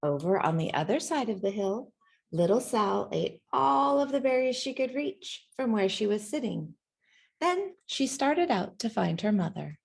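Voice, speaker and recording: A woman reading a children's picture book aloud in an expressive storytelling manner, acting out the sounds and dialogue. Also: medium pitch, measured pace, vocal fry, breathy edge. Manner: animated, acts out sounds and dialogue. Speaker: storybook reader.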